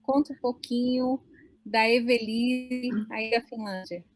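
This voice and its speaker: A woman's voice: on the high side, fairly even intonation, takes it slowly, no room sound. A Brazilian woman in her forties hosting a live online chat in a friendly, talkative way, often adding "né?". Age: 40s. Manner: friendly, chatty, many "né?" tags. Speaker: host. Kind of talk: casual live-stream chat.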